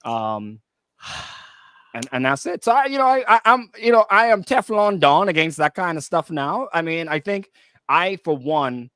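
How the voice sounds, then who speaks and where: A man's voice: fairly high pitch, big pitch swings, unhurried, a bit bright, clean tone. A Bahamian man hosting a casual radio discussion, emphatic and repeating himself for emphasis, often checking in with "right?". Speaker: radio host and music director. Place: radio studio.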